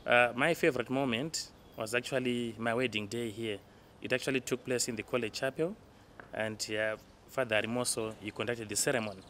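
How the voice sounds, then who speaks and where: A middle-aged man answers interview questions in a medium-pitched voice. He is recorded outdoors, with noticeable noise around him. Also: fairly even intonation, fairly quick, fairly bright tone. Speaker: school hostel master. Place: outdoors by a brick school building. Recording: clear outdoor background noise.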